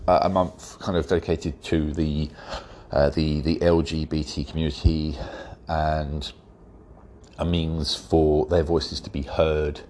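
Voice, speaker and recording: A man hosting his own podcast, congested and stuffy-nosed from hay fever, talking in a wry, measured way and a bit slowly. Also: a bass voice, little pitch movement, darkish tone. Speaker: podcast host. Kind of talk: solo podcast commentary.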